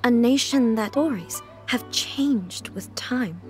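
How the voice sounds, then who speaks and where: A woman's voice: a little high, slow pace. A woman playing a dignified, reserved female ruler in a video game, speaking formally and earnestly with a slightly awkward air. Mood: solemn, measured. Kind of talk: scripted game dialogue. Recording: background music under the voice.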